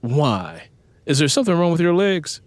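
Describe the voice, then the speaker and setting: A man playing a stern, exasperated father in an audiobook, booming and demanding. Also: very animated, moderate pace, no echo. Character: stern, exasperated father scolding his teenage daughter.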